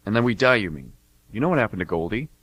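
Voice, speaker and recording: A man's voice: fairly low pitch, sing-song, fast talker, fairly bright tone, husky, no room sound, exaggerated voice, rough recording. A man playing a skeptical, sardonic pet goldfish with a dry, doubting manner in an amateur comic radio play.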